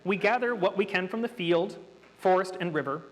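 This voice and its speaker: A man's voice: high-pitched, moderate pace. A man running an interactive workshop, explaining things in a chatty, nerdy way to a room of participants. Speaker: workshop presenter. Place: hall with an audience. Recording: reverberant hall.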